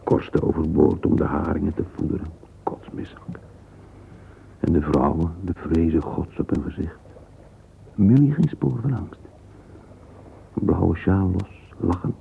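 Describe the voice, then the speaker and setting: Wistful middle-aged man in a radio play, super deep voice, slow halting murmur, thin phone-like old recording. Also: creaky, full character voice. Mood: nostalgic. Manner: murmuring, halting, fragmentary musing, sometimes whispered. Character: wistful, musing middle-aged man lost in private thought. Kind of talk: radio play interior monologue. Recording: narrow-band lo-fi old radio recording, hissy.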